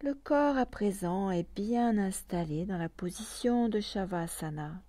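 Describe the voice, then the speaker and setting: A woman guiding a yoga nidra meditation calmly and softly, in short phrases with long pauses between them. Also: mid-range voice, no room sound. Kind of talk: slow guided meditation.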